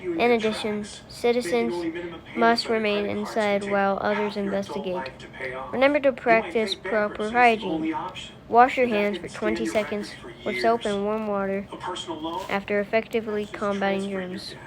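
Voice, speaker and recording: A woman reading a scripted police emergency announcement in an amateur, halting way, repeating and restarting phrases. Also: medium pitch, steady tone, rough recording. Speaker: police spokesperson in a mock emergency broadcast. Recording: clear crowd noise behind voice.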